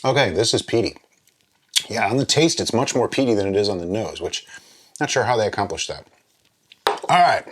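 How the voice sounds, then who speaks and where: A man hosting a drinks review vlog, chatting casually and explaining as he muses aloud, with pauses and little check-ins. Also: lowish voice, expressive. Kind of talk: casual chat, tasting review.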